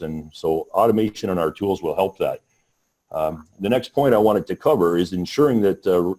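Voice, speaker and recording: A man with a very low voice gives a business webinar presentation. He speaks haltingly, stopping and restarting his sentences and filling the gaps with "uh." He sounds like a panelist on a call. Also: a little mellow. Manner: halting, many restarts and fillers.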